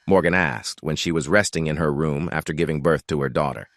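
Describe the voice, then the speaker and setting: A man narrating an audio drama in a steady, measured storytelling voice on a close mic. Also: a bass voice, dry recording.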